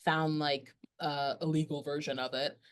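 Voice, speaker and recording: A woman in her twenties, a TV critic guest, talking in a reflective, unhurried way with trailing fillers. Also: deep voice, level tone. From Canada. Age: 20s. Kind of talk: casual podcast chat.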